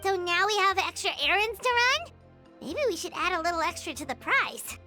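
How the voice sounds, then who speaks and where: Tiny childlike game fairy: extremely high, swooping, bubbly chatter, music clearly behind. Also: female voice, lively intonation, moderate pace, bright, forceful tone, heavily acted. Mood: mildly grumbling. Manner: bubbly, chatty, refers to self in third person. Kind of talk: video game voice acting. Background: game background music.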